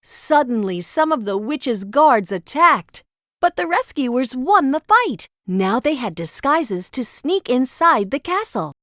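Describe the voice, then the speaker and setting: A woman's voice: high voice, sing-song, slow, a bit bright, no echo, clean studio sound. A woman narrating a children's storybook as a scripted read-aloud.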